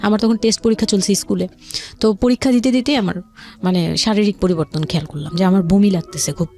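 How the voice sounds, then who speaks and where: A woman's voice: mid-range voice, fast talker, dark tone. A woman with a Bangladeshi accent telling her personal story as an interview guest, colloquially, often repeating and rephrasing herself.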